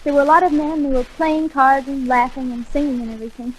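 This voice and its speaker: A woman's voice: high voice, steady tone, fast, close to an everyday voice. An actress playing an earnest, naive teenage girl in a 1940s radio comedy. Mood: innocent. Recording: faintly noisy 1940s radio recording.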